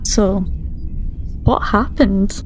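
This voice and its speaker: A woman voicing a calm, dry, matter-of-fact starship doctor in a tabletop roleplay. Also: mid-range voice, drawn-out words, slight background noise, rough recording. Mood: dry, professional.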